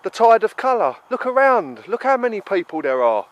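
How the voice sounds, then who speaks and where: A man's voice: high voice, sing-song, brisk, muffled recording. A British man with a stuffy nose from a cold, ranting heatedly in an emphatic monologue full of repeated rhetorical questions. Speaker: vlogger.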